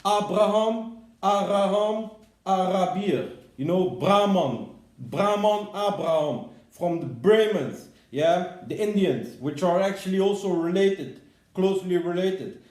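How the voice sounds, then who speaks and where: A man's voice: high voice, slow pace, reverberant. A man from the Netherlands, ranting angrily as a commentator and swearing a lot. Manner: heated, swearing, shouting bursts. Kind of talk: angry profane rant.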